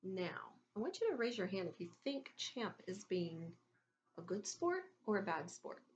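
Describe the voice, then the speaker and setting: A woman reading a children's story aloud in an expressive, deliberate teacherly way, pausing to put questions to her listeners. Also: medium pitch, muffled recording, a little hiss or hum.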